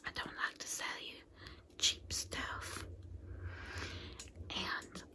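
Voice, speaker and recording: Woman acting as female vampire saleswoman, breathy whisper, unhurried, chatty, folksy, sweetly patronizing, comedic ASMR roleplay. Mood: helpful salesy. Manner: rambling, folksy endearments, confiding. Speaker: consultant selling vampire transformations.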